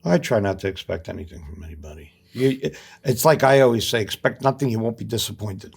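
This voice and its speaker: A man's voice: lowish voice, quick pace, creaky, breathy edge. A middle-aged man, an actor guest, chatting earnestly on a podcast and sharing life lessons. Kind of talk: casual podcast chat.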